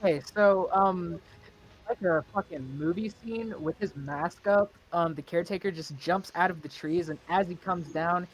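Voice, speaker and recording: A man playing a tabletop roleplaying game, casually describing his character's actions and pausing to think as he goes. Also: sits high, slight background noise, rough recording.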